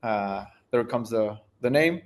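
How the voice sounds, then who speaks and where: A man's voice: medium pitch, expressive, slow. A man, answering interview questions as a tech founder in a thoughtful way, searching for words as he goes. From Argentina. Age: 30s.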